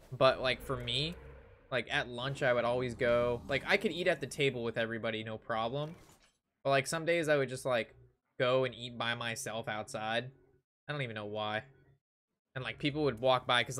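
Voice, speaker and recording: A man's voice: medium pitch, fairly bright tone. A young American man telling a casual, rambling story to his stream chat, swearing freely and exaggerating for comic emphasis. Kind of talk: casual anecdote told to chat while gaming.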